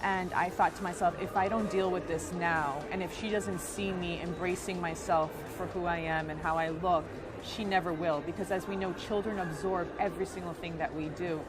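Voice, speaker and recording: An American woman telling her personal story in an interview, earnest and thoughtful, in short phrases with pauses. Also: fairly low pitch, fairly even intonation. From United States. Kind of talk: reflective personal account. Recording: music bed underneath.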